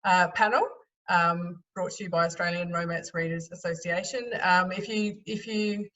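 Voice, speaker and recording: A woman's voice: fairly low pitch, steady tone, fairly bright tone. Distant, dull-sounding woman moderating, hesitant. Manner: hesitant, halting delivery with many ums. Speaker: panel moderator. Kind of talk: casual, unscripted panel introduction. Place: remote video call. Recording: dull audio, distant from mic.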